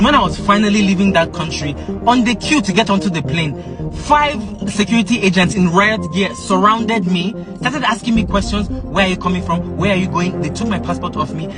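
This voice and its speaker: A male student from Africa telling a personal story, animated, acting out the exchanges he quotes. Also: high-pitched. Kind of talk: storytelling to camera.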